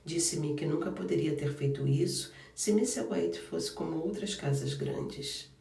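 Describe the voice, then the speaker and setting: A warm-hearted, sturdy young housemaid who chatters freely and stands her ground, played by a man reading aloud. Her voice is pitched up and sits mellow in tone. The room is roomy, and the mic is a little distant. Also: measured pace, creaky. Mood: chatty. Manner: chatty, good-humored and firm.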